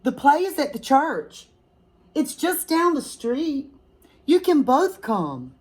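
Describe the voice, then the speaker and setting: Woman playing an eager, high-pitched little girl. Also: slow, exaggerated voice. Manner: excited, emphatic. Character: eager, earnest little girl in a Christmas story.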